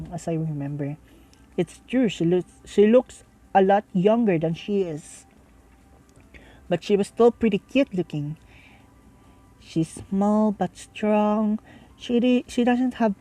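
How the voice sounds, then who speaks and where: Slow-paced woman reading a visual novel aloud. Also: medium pitch, mellow, poor audio. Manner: halting, stumbles over words and rereads. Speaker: reader and commentator. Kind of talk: visual novel read-aloud with casual asides.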